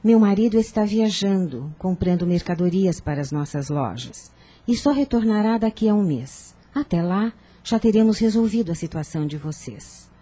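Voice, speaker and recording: Radio drama: actress as protective middle-aged lady of the house, mellow husky voice, measured and confiding. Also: mid-range voice, animated, natural voice. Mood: grave, confiding. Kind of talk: radio soap opera acting.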